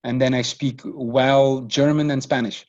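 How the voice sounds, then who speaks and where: Dutch-native man, halting speech. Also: medium pitch, fairly even intonation, darkish tone, lo-fi sound. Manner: hesitant, many restarts and repeated words. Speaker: podcast guest. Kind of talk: casual conversational interview.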